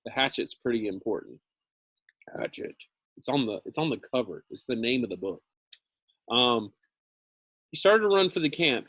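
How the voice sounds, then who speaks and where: A man's voice: mid-range voice, fairly bright tone, breathy edge, no room sound. A man reading a novel aloud like a teacher, with dramatic emphasis and casual, informal asides. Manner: dramatic emphasis, repeats phrases, informal commentary.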